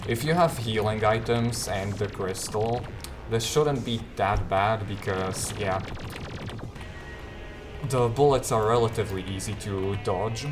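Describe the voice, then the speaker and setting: A man giving an off-the-cuff video game walkthrough in a low-key, hesitant way, often trailing off. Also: unhurried, over music. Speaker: gamer giving a guide.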